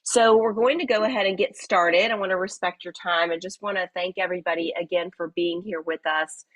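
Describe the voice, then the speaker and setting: A woman hosting a live webinar, talking in a friendly, chatty way with many fillers, slightly raspy from a cold. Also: fairly low pitch, steady tone, a little fast, fairly bright tone, dry recording, poor audio. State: hoarse from a cold. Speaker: webinar host.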